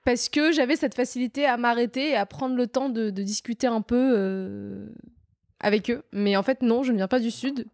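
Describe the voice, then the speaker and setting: A woman's voice: fairly high pitch, moderate pace, a little mellow, no room sound, studio quality. A young woman from Tunisia who grew up near Paris, chatting in an easygoing way as a podcast guest. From Tunisia, raised near Paris. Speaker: guest, co-founder of a street art venue. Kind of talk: casual chat.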